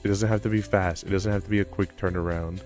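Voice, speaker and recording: A man with a low voice chatting casually on a live stream, rambling and self-deprecating. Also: steady tone, quick pace, a little mellow. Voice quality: low-pitched voice. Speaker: livestreamer. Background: background music.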